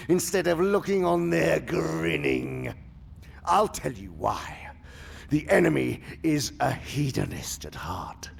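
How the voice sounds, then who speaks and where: A man playing an imperious senior demon in a theatrical radio drama, venomous and contemptuous, with a gravelly voice and grandiose, sneering delivery. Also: mid-range voice, heavily acted. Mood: sneering contempt, then cold disdain. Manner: grandiose, sneering, savoring words, swinging from hiss to roar.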